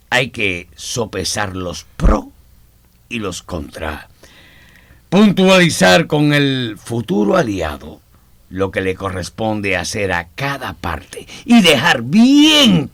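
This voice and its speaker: An actor playing a proud, wary former army colonel in a radio drama, speaking formally with weighty, halting delivery. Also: male voice, deep voice, a bit bright, heavily acted.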